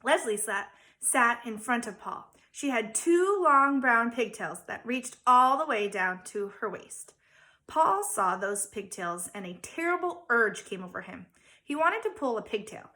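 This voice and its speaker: A woman, a teacher, reading a children's story aloud with dramatic emphasis and theatrical pauses. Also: on the high side, expressive, bright, forceful tone. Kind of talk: expressive children's storybook narration.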